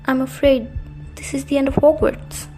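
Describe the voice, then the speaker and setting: A woman playing a stern, authoritative older school professor in an audio drama, speaking formally and gravely. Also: sits high, level tone, moderate pace, music in the background, lightly acted.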